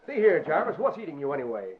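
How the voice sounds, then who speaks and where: Cornered, defiant crook in a suit, higher male voice, blustering, muffled vintage radio sound. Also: huge pitch swings, quick pace, slight background noise. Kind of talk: 1940s radio acting. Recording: dull lo-fi vintage radio broadcast.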